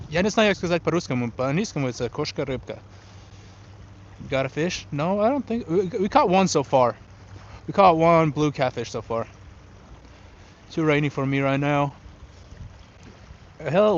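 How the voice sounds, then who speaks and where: A young man originally from Ukraine, speaking English as a second language, chats informally with livestream viewers. His voice sits a little high for a man. The outdoor recording is somewhat dull, with light noise behind him. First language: not English, learned it after moving to the US. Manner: informal, switches between English and Russian. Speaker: fishing livestream host. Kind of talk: casual livestream chat, answering viewers. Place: outdoors on a riverbank in wind.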